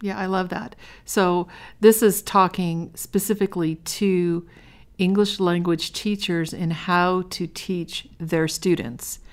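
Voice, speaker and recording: Woman, podcast co-host, lowish voice, friendly and affirming. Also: steady tone, takes it slowly. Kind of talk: casual podcast discussion.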